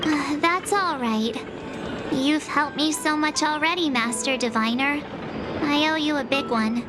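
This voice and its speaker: A woman playing a perky, stubborn teenage girl adventurer in an anime-style game, expressive and casual in her delivery. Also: high voice, a little slow. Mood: accepting. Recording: loud music behind the voice. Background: loud game music.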